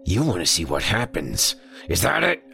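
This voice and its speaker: Hostile male bear detective, gruff and deep, low music behind; a man voices him. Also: huge pitch swings, moderate pace, bright, forceful tone, heavily acted. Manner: growling, blunt, threatening.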